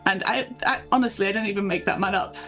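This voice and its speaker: A woman's voice: mid-range voice, quick pace, fairly bright tone, faint music behind. British woman author chatting in an interview. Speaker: guest fantasy author. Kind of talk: casual interview chat.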